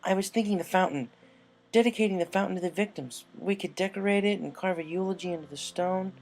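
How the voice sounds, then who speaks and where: Man doing an eager, raised-pitch young girl pony. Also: quick pace, vocal fry, poor audio. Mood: earnest, hopeful. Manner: casual, earnest, eager. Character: earnest, loyal young female pegasus pony, eager.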